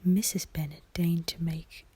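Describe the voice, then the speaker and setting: A woman reading an audiobook in soft ASMR style, in a hushed, breathy whisper with a gentle delivery. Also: low voice, fairly even intonation, measured pace. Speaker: audiobook reader.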